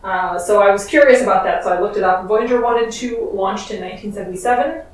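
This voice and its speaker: A soft-spoken woman co-hosting a podcast, recapping a comic in casual chat. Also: mid-range voice, fast. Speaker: podcast co-host. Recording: very echoey, distant from mic, faint noise.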